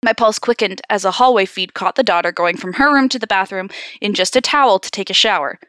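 A woman hosting a story-reading show, switching between expressive narration and slangy, sarcastic casual asides. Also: medium pitch, fast, no echo, studio quality. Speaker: podcast host reading horror stories aloud. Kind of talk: story read-aloud mixed with casual banter.

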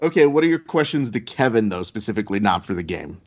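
A man's voice: lowish voice, sing-song, quick pace. A man hosting a podcast, chatting casually and shifting into a playful, announcer-like game-show delivery. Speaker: podcast host. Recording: slightly dull lo-fi sound.

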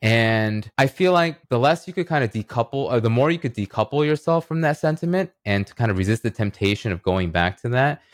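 A man's voice: mid-range voice, lively intonation, fairly quick, hardly any pauses, no room sound, studio quality. A man talking casually as a podcast guest, explaining ideas and checking in with "right?" as he goes. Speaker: podcast guest, writer and illustrator. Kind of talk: casual conversational podcast talk.

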